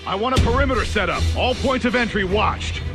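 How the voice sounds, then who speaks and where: A man's voice: sits high, fairly quick, music in the background. An actor playing a bossy gang foreman who barks orders at his men. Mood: commanding. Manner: barking orders.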